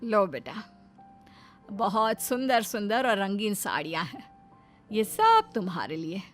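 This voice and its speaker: A woman's voice: medium pitch, very animated, a little slow. A woman voicing an older mother-in-law in a cartoon moral story, in a dramatic, earnest style. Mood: gentle, coaxing. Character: older mother-in-law, stern then remorseful and caring. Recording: background music under the voice.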